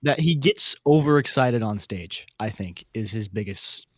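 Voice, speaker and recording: A man co-hosting a podcast, chatting casually with excitable, slangy commentary. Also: fairly low pitch, a little slow, dry recording, studio quality. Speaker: podcast co-host. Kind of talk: casual chat, giving competition commentary.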